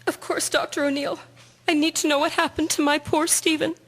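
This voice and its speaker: High-voiced worried wife played by a woman. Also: little pitch movement, a little fast, fairly bright tone. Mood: grieving. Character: worried, devoted wife of a carpenter. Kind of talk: scripted audio drama acting.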